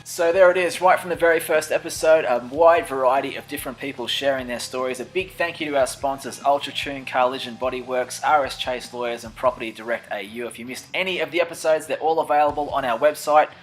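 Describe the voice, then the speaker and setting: A man's voice: medium pitch, fairly even intonation, fast, few pauses. A man hosting a talk show, recapping a season of interviews in an upbeat, announcer-like way. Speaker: host.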